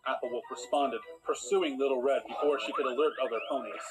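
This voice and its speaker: A man's voice: mid-range voice, fairly even intonation, a little fast, over loud music. A man narrating a scripted fairy tale in storybook style.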